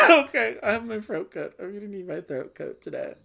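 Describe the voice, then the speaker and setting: A woman's voice: mid-range voice, big pitch swings, moderate pace, gravelly, pushed and bright. A woman hosting a board game livestream, chatting casually with playful, wry asides and sounding slightly phlegmy. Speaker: host of a board game play-along livestream. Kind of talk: casual chat with read-aloud game text.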